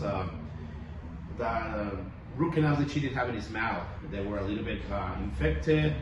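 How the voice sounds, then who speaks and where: Male dentist, Mexican Spanish accent, chatty clinic testimonial, off-mic in a reverberant room. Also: medium pitch. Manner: friendly, rambling, tag questions like "okay?" and "you know?". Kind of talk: casual clinic testimonial chat. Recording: echoey room, far from mic, audible noise.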